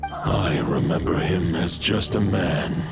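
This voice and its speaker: Ancient wolf spirit king for a fantasy game: very low, slow, solemn, formal speech, loud music underneath. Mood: grave, reflective. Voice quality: gravelly. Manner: solemn, formal, archaic phrasing. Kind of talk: video game voice acting.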